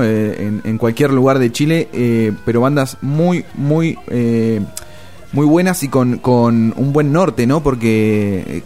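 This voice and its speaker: A man's voice: mid-range voice, a little mellow. Rioplatense man hosting an interview. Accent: Rioplatense Spanish. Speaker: interviewer, host. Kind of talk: casual interview.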